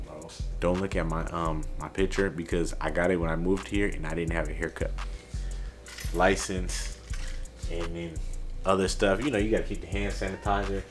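Deep-voiced laid-back man in an echoey room. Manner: laid-back slangy chatter, animated shifts in pitch and loudness. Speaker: barber giving a studio tour. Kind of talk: casual vlog walkthrough. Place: small barber studio room, indoors. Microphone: handheld camera mic, moving around the room. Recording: roomy echo, somewhat far from mic, music behind.

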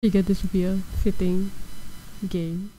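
A woman streaming a video game and chatting with viewers, talking softly in an attempt at ASMR and swearing when startled. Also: medium pitch, level tone, soft background music, rough recording. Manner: soft, slow attempt at ASMR-style talk. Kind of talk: live gameplay chat with viewers.

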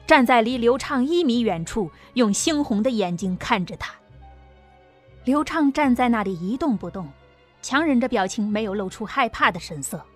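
A woman narrating an audiobook in a scripted storytelling style, reading suspenseful fiction. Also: fairly high pitch. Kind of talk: scripted narration of an apocalyptic sci-fi novel. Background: background music.

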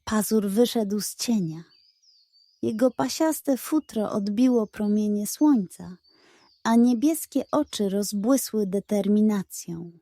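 A woman narrating a scripted children's bedtime story in a measured, dramatic way. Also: medium pitch, dark tone, no echo.

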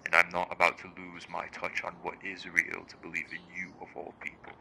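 Man acting cold interrogator, deep flat voice, curt, menacing, audio drama. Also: a little fast, gravelly, noisy background. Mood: cold, threatening. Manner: curt, demanding.

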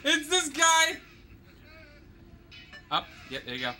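A man co-hosting a game let's play, joking and teasing the player with animated commentary. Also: very high voice, takes it slowly, music in the background. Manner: teasing, animated, exclaims and laughs often.

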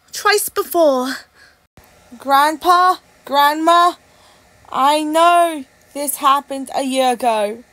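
Woman voicing a very high-pitched cartoon girl. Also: sharp tone, no room sound, heavily acted. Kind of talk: amateur character voice lines.

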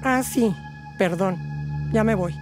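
A woman's voice: medium pitch, slow pace, soft background music, lightly acted. A woman playing an overly friendly, uneasy neighbour, hesitant and solicitous, in an audio drama. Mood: apologetic, concerned. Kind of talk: naturalistic audio fiction acting.

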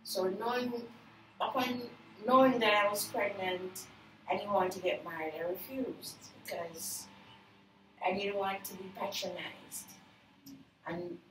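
An older woman with a Jamaican accent, telling her life story to her son in a measured, earnest, explaining way, sitting beside him in a sunlit room. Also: mid-range voice, fairly even intonation. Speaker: interviewee. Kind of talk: reflective personal storytelling. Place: sunlit room by glass doors. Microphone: far camera. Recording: roomy, far from the mic, faint noise.

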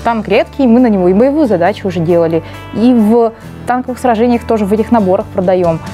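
A woman's voice: medium pitch, fast, dark tone, soft background music. A young woman co-hosting a live stream, chatting playfully and reading out giveaway winners. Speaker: stream co-host. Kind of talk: casual live stream chat.

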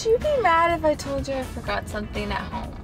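A woman's voice: sits high, very animated, fast talker, loud music behind the voice. A young woman bantering playfully and whining as a girlfriend in a comedy sketch, filmed in a car by a dashboard camera. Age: young adult. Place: inside a parked car.

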